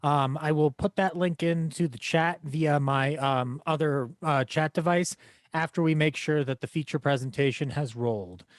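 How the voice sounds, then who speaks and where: A man's voice: fairly high pitch, normal speed, dry recording. A man making casual live announcements as an event's tech producer, polite and slightly formal.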